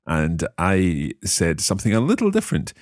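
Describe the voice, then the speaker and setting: A man's voice: low-pitched, wildly swooping pitch, normal speed, no echo, studio quality. A man from Scotland hosting a podcast language lesson, speaking carefully and repeating phrases aloud. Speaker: language learner and podcast host.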